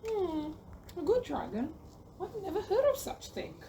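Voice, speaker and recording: A woman's voice: extremely high pitch, sing-song, a little slow, mellow, slight background noise, exaggerated voice. A woman playing a brave, hearty knight in an audio play, courteous and stubborn, with a grand formal manner. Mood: doubtful, firm. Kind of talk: community audio play acting.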